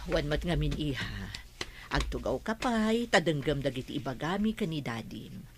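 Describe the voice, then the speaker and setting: A woman playing a gentle middle-aged mother in a radio drama, coaxing, with an Ilocano Filipino accent. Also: low voice, sing-song, normal speed, noisy background.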